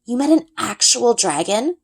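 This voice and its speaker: A woman voicing an eager, excitable young girl, a loyal best friend in a storybook. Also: fairly high pitch, moderate pace, pitched above the performer's own voice. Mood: excited.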